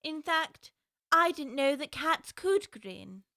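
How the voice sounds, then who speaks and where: A well-spoken young girl, earnest, high bright lively voice, dry studio. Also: slow, vocal fry, no room sound, exaggerated voice. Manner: earnest, timid yet indignant, well-spoken. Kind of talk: audiobook drama acting.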